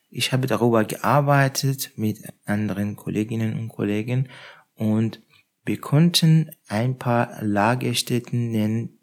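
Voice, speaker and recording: A man from Iran, answering interview questions about his research in a hesitant way with frequent pauses and fillers. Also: on the low side. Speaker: guest researcher.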